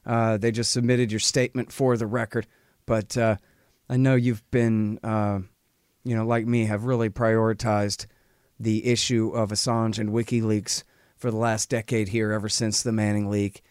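A man's voice: on the low side, moderate pace, clear, resonant tone, dry recording. Casual male podcast host, loose and folksy, close dry mic. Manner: relaxed and folksy, drawls with many uh's. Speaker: interview host.

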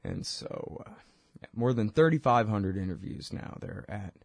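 A man's voice: fairly low pitch, very animated, moderate pace, vocal fry, no echo. A man with a head cold hosting his own talk show, rambling and sarcastic as he talks through his segment. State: congested from a cold. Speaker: podcast host. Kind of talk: solo talk-show monologue.

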